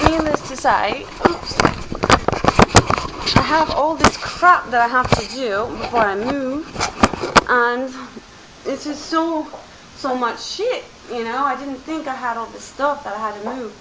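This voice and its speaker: A young woman with a slightly high voice chats loosely to her camera at home. Her talk rambles and stays informal. The recording has clear background noise. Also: slow pace. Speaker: vlogger. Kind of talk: casual chat to camera. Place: home room among moving boxes.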